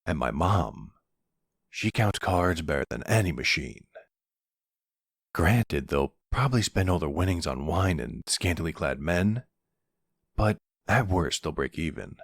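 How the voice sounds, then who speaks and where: Man playing a deep, smug young mafia boss. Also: lively intonation, vocal fry, no echo, clean studio sound, heavily acted. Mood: smug, playful. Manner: teasing, unhurried, smug. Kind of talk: ASMR boyfriend roleplay acting.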